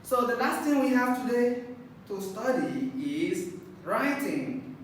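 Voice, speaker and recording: A man teaching an English lesson to children, slow and patient, repeating words and spelling out capital letters. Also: very high voice, lively intonation. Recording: echoey room, far from mic, faint noise.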